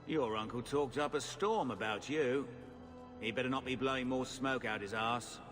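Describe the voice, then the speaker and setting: A man's voice: mid-range voice, normal speed, lightly acted. A man voicing a crude, tough mercenary captain in a video game, giving blunt orders. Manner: coarse, blunt. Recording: game audio with music underneath.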